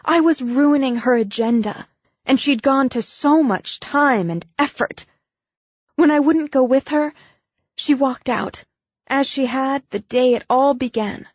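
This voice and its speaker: A woman's voice: high-pitched, a little slow, dry recording, highs cut off, pitched above the performer's own voice. A woman voicing a shaken, guarded young woman who speaks hesitantly in an audiobook. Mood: anxious. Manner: hesitant, anxious.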